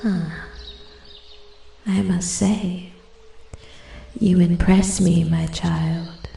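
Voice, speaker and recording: A woman voicing an ancient immortal forest spirit, haughty yet intrigued, in a soft-spoken ASMR roleplay, with breathy, formal, measured speech that often drops to a whisper. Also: on the low side, level tone, reverberant, heavily acted. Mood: intrigued, condescending. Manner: slow, measured, formal and condescending. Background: ambient forest sounds, birdsong.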